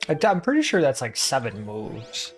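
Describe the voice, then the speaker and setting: A woman's voice: very deep voice, huge pitch swings, normal speed, faint music behind. A woman giving casual gameplay commentary, muttering to herself as she thinks things through. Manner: muttering to self while thinking. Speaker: gaming streamer.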